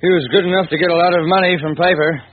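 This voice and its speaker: A man's voice: high voice, steady tone, fast, no room sound. An actor playing a self-assured, skeptical male chemist with a breezy manner in an old-time radio drama, tinny and noisy. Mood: amused scoffing.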